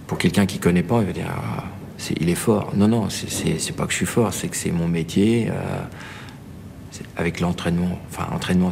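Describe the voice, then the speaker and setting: A man's voice: gravelly, darkish tone. A man who cuts leather, speaking in a documentary interview. He explains his craft in a plain, modest, matter-of-fact way. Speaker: leather cutter. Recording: noisy workshop with rumble.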